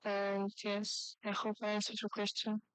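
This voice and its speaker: A woman's voice: mid-range voice, monotone, moderate pace, clean tone, no echo, rough recording. A woman speaking as a participant in a formal youth parliament debate.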